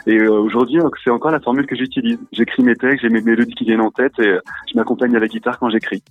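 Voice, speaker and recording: A man guest on a radio interview talks fast and eagerly, filling his sentences with little hesitations. His voice comes down a tinny phone line, dry and close. Faint music plays underneath. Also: medium pitch, fairly even intonation, no echo. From France. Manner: friendly, eager, many fillers. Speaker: singer-songwriter guest.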